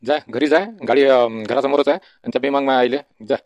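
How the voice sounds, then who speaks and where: A man's voice: mid-range voice, fairly even intonation, fairly quick, a little mellow, no room sound, lightly acted. A man voicing a generous, easygoing young village do-gooder in a comedy cartoon, folksy and obliging, with a Varhadi Marathi accent. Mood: brisk. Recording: dull, lo-fi sound.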